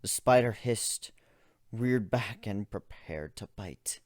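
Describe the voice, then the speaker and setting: A man narrates a story in the first person with dramatic delivery. His voice sits fairly low, and it is recorded close and dry, with little room sound. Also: expressive, slow pace, darkish tone, dry recording.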